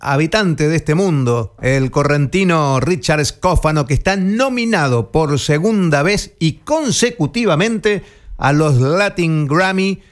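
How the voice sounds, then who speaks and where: A man's voice: a little high, expressive, measured pace. A man with an Argentine accent hosting a casual, bantering radio interview in Spanish. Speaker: radio host.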